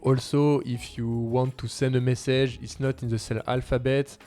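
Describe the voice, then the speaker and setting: A man's voice: medium pitch, flat delivery, moderate pace, mellow, soft background music. A man from France, a native French speaker with a French accent, talking casually as host of a language-learning video in non-native English phrasing. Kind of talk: casual talk to camera.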